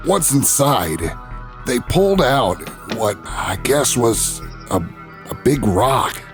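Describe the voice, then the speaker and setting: An actor playing a brash male talk show host, narrating his own investigation in an audio drama with a folksy, swaggering manner and dramatic pauses. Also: medium pitch, sing-song, over music. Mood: conspiratorial. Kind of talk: scripted first-person narration in an audio drama.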